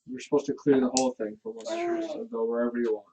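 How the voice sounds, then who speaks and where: A man's voice: fairly high pitch, huge pitch swings, fast, gravelly, mellow. A man playing a tabletop role-playing game, talking casually with the group. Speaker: player. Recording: dull recording with faint noise.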